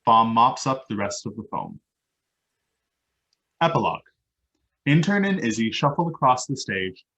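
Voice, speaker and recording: A man's voice: mid-range voice, sing-song, measured pace, a bit bright, dull audio. A man narrating stage directions as scripted reading for a play.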